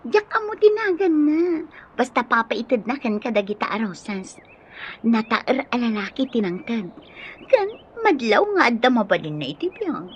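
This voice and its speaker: A woman's voice: on the high side, big pitch swings, moderate pace. An actress with an Ilocano accent voicing a giggly, teasing, lovestruck young woman in a radio drama. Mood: giddy, swooning. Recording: dull lo-fi audio, faint background noise.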